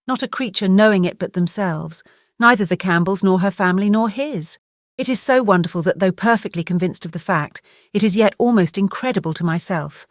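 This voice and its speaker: An actress with a British accent playing a gentle, distressed married gentlewoman in an audiobook drama, speaking earnestly and hesitantly. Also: medium pitch, dry recording, clean studio sound, natural voice. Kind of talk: full-cast audiobook drama reading.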